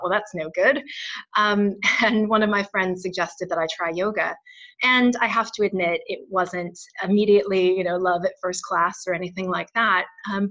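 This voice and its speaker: A woman's voice: on the low side, bright, forceful tone. An American woman presenting a webinar over a video call, friendly and earnest with many "um" fillers. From United States. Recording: dull lo-fi web call audio.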